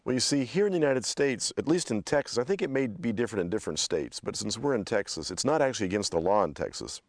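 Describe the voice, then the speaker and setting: A man with a scratchy voice hosting a language lesson podcast, upbeat and explaining slowly. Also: fairly low pitch, animated, no room sound, clean studio sound. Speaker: podcast host and language teacher.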